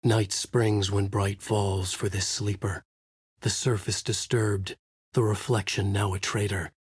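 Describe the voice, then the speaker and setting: A haunted novelist narrating a horror video game. His voice is husky and sits fairly low, recorded dry and close. He delivers hard-boiled prose gravely and deliberately, brooding over every line. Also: little pitch movement, no room sound. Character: troubled male novelist narrating his dark horror story.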